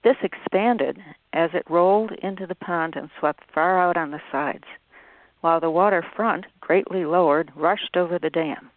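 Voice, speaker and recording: A woman's voice: on the low side, moderate pace, no room sound. A woman, a wildlife biologist, reading literary narration aloud. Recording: tinny phone-like audio.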